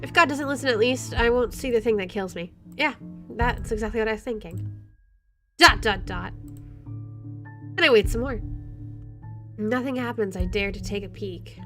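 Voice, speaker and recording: A woman's voice: medium pitch, normal speed, long pauses, a bit bright, faint music behind. A woman hosting a gaming playthrough, reading the story text aloud dramatically and adding playful, joking asides. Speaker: gaming video host.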